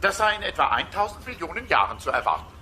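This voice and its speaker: An actor playing a brisk, self-important newsreader in a parody bulletin, formal and emphatic. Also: male voice, fairly high pitch, very animated, pushed and bright, slight background noise, full character voice. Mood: official. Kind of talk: scripted news announcement parody.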